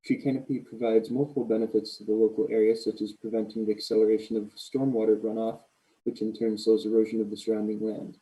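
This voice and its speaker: A man in his twenties from Massachusetts, a graduate student reading a prepared presentation over a video call, measured with hesitant fillers. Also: fairly low pitch, level tone, dark tone, rough recording.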